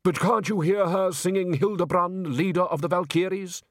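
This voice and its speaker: A man voicing a wistful old music director, reminiscing slowly and mournfully in a storybook. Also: high voice, steady tone, dry recording, clean studio sound, pitched above the performer's own voice, natural voice. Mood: wistful, nostalgic.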